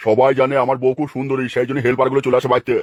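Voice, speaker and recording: A man's voice: mid-range voice, monotone, racing, no echo, lightly acted. A man playing a jealous, fretful mason husband, bossy and fussy, in a comic cartoon dub. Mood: bossy, worried.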